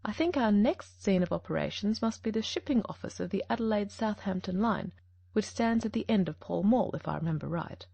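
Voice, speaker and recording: A woman reading an audiobook, voicing a shrewd male detective who speaks in a measured, gently firm way. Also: medium pitch, animated, gravelly, dry recording.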